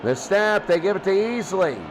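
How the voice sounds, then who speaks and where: High-pitched man calling football play-by-play. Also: expressive, normal speed, a crowd in the background, background noise. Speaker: play-by-play commentator for a video game football stream. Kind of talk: sports play-by-play commentary.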